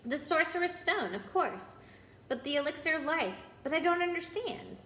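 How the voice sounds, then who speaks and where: A woman's voice: high voice, animated, moderate pace, fairly bright tone, voice pushed up. A woman voicing a bewildered young schoolboy in a storybook reading, questioning and hesitant. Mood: puzzled. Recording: roomy echo with noise.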